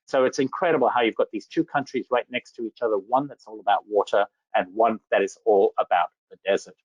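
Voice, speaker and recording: A man's voice: on the low side, fairly quick, slightly rough, no echo. A man from Zambia giving an enthusiastic slide presentation about safari travel, full of superlatives. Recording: dull lo-fi dry audio.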